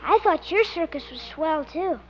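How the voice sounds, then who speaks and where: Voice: extremely high pitch, lively intonation, normal speed, a little hiss or hum. A performer playing an earnest, polite and loyal little boy in a 1950s radio comedy. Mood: shy, warm. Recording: muffled vintage 1950s radio broadcast, music.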